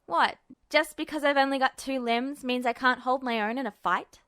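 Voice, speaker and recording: A woman's voice: sits high, normal speed, fairly bright tone, dry recording, clean studio sound. A woman voicing a scrappy, foul-mouthed young man with a brash, combative manner in an audiobook story. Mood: indignant. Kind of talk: audiobook character voice.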